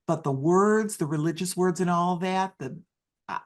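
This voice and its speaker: An interpreter voicing a deaf speaker's personal story in the first person, in halting phrases full of restarts and self-corrections. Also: mid-range voice.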